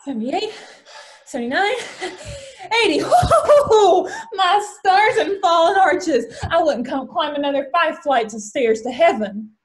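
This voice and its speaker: Lady acting a brassy showgirl, young, real high voice, swoops around, sarcastic stage type. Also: very animated, a little slow, full character voice. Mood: boisterous. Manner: theatrical, sarcastic, mock-posh asides. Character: brash, wisecracking young vaudeville showgirl, good-natured, not too sensitive. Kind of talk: play table read.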